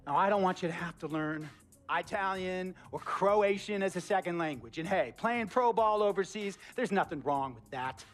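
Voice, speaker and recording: A man voicing a pushy billionaire team owner in a video game cutscene, lecturing in a stern, bossy way. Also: a little high. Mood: warning. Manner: bossy lecturing with sudden loud outbursts.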